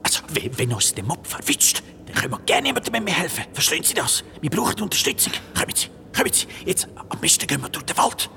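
A man's voice: fairly high pitch, sing-song, quick pace, creaky, hardly any pauses, slight background noise, heavily acted. A man playing a grudging, nervous hired watchman of 1888 in a radio play, impatient and stammering. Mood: urgent, grudging. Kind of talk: German-language radio play acting. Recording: radio play with loud music behind.

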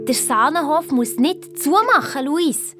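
A woman's voice: high-pitched, animated, measured pace, fairly bright tone, faint music behind. A woman playing a confident, eager young hotel owners' daughter in a children's audio drama, telling her story with a Swiss German accent. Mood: matter-of-fact.

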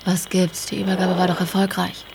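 A woman's voice: on the low side, little pitch movement, fairly quick, vocal fry, heavy background noise. A woman playing a curt, ruthless female gangster enforcer in a German radio crime drama, threatening in manner. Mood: cold menace. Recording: reverberant hall, music underneath.

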